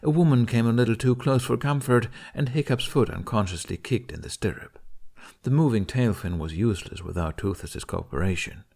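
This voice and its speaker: A man's voice: mid-range voice, brisk, creaky, a little mellow. A man reading a scripted story expressively as an audiobook narrator.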